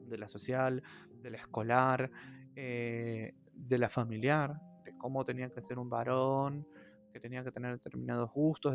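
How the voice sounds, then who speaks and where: An Argentine man hosts his own channel, thinking aloud in a reflective, halting way full of drawn-out fillers. His voice carries a gravelly fry. The recording sounds muffled and lo-fi, with quiet music underneath. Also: mid-range voice. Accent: Argentine Spanish. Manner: thoughtful, hesitant, many drawn-out fillers. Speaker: channel host. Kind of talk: reflective personal monologue. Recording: dull lo-fi audio with faint music.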